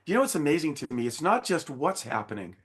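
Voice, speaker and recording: An American man co-hosting a casual panel discussion, speaking in a conversational, rambling, animated way. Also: on the high side, fast talker. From United States. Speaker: co-host.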